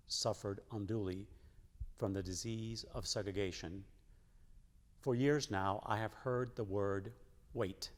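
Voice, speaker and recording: A man's voice: lowish voice, darkish tone. A man reading a historic letter and quotations aloud as a scripted recitation. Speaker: church member reading quotes aloud. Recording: echoing hall with long reverb.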